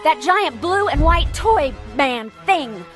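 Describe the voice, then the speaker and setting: A woman's voice: high-pitched, animated, takes it slowly, soft background music. An actress playing a practical, plucky woman in danger in a video game, speaking in an urgent, plain way. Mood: alarmed. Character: plucky, practical adult female civilian in danger. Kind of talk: video game character acting.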